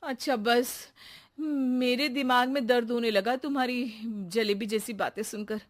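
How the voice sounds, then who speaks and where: A woman's voice: on the high side, steady tone, measured pace, lightly acted. A woman voicing an exasperated husband in a cartoon, fed up and dramatically pleading. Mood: irritated. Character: exasperated husband, employer of a maddening housemaid. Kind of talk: Hindi cartoon voice acting.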